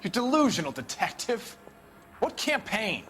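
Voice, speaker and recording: A man's voice: sits high, sing-song, unhurried, fairly bright tone, soft background music, full character voice. A man playing a defiant, idealistic technician suspect in a video game drama, haughty and argumentative. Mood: indignant.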